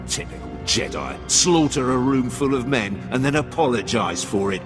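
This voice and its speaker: An actor playing a vengeful, scornful military commander in a video game, bitter and grim. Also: male voice, mid-range voice, lively intonation, moderate pace, music in the background, natural voice. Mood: contempt. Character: vengeful male Imperial commander, bitter and contemptuous. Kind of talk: video game cutscene acting.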